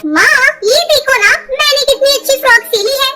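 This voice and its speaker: A woman's voice: extremely high pitch, moderate pace. A woman voicing an earnest, eager young female bird in a children's cartoon story. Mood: cheerful.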